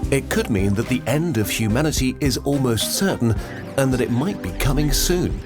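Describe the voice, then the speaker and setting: A man delivers scripted science narration with a dramatic, expressive rise and fall at a middle pitch. Music plays clearly underneath his voice. He poses rhetorical questions throughout. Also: normal speed. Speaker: video narrator. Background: music bed.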